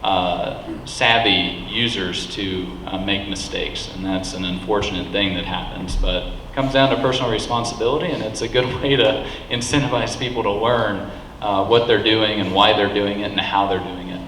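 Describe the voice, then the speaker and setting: A man's voice: on the low side, moderate pace, a little hiss or hum. Emphatic man in an echoey hall. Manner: animated, emphatic, many restarts. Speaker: panel speaker at a meetup. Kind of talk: live panel talk. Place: meetup venue hall. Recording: echoey hall with long reverb.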